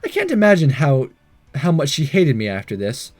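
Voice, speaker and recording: A man's voice: a little high, wildly swooping pitch, moderate pace, darkish tone. A man hosting a let's play, reading visual novel dialogue aloud in a playful, theatrical way with joking asides. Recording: game music behind.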